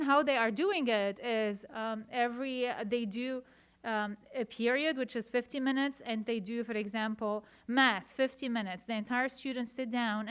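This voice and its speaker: A woman from Lebanon, a parent giving a public comment at a school board meeting, speaking earnestly and haltingly with many fillers. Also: a little high, a bit bright, clean tone.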